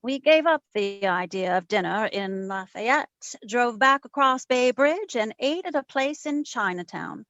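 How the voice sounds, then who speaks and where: A woman playing a sharp, wry private investigator in an old-time radio detective drama, narrating and trading teasing endearments. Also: a little high, no room sound, dull audio.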